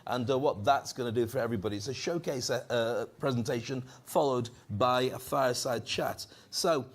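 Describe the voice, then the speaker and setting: A man's voice: mid-range voice, normal speed. A man hosting a conference stage and coaching on public speaking, joking and rousing the audience with a handheld microphone. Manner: jokey, animated, audience-rousing. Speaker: event host and speaking coach. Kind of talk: energetic stage presenting.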